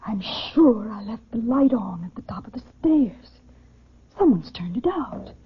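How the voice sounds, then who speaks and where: A scared wife from old radio, high breathless female voice, jumpy, muffled vintage sound. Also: animated, measured pace, breathy edge, full character voice. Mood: hushed fear. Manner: breathless, fearful. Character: frightened wife, timid and anxious. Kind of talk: 1940s radio drama acting. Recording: muffled 1940s radio broadcast recording.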